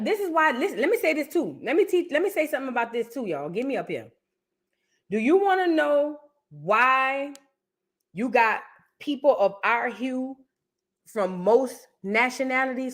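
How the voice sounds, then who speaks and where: A high-voiced woman, chatting casually with her stream audience. Her delivery is loud and emphatic, and she keeps repeating her punchlines. Her pitch swings widely as she talks to viewers by name. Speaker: livestream host reacting to history content. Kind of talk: casual reaction chat with viewers.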